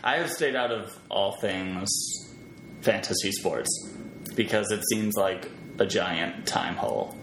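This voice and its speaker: A man's voice: fairly low pitch, slow. An easygoing man talking casually as a podcast guest, often with a chuckle. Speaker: podcast guest, web developer. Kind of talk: casual chat. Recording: roomy echo, faint background noise.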